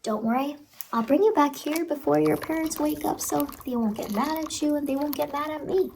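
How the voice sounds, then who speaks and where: A woman voicing a cheery, eerie clown ice-cream vendor in a horror ASMR roleplay, softly coaxing and reassuring a child up close over background noise. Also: sits high, a little fast, creaky. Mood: chatty, coaxing.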